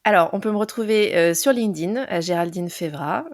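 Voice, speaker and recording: Woman in her 40s, bit of fry in her voice, dry close mic, lots of euhs. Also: lowish voice, fairly quick, no echo. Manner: hesitant, many fillers, thoughtful. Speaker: podcast guest, entrepreneur. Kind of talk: casual interview chat.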